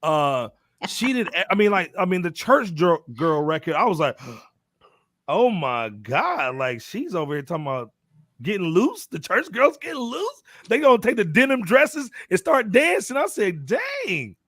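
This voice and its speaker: Male American music host, higher register, animated slangy delivery, casual panel chat. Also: unhurried, dry recording, clean studio sound. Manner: animated, slangy, emphatic storytelling. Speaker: podcast host.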